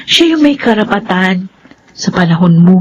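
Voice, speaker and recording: An actress playing a polite, soft-spoken young woman in a radio drama. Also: medium pitch, animated, normal speed, a little hiss or hum, lightly acted. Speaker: narrator and main character. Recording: dull lo-fi radio sound.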